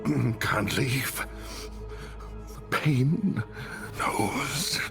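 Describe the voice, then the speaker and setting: A broken male captive from a dark fantasy game. He is in agony, dragging out each word with wavering pitch. Music plays clearly behind him. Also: animated, extremely slow, full character voice. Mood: agonized. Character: agonized, broken male captive, barely able to speak. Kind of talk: video game voice acting.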